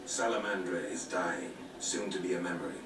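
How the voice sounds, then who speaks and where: An actor playing a gruff, stoic monster hunter in a video game, terse, deadpan and defiant. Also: male voice, low voice, normal speed, distant mic, natural voice. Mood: dismissive. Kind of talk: video game cutscene acting. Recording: echoey game audio with noisy background.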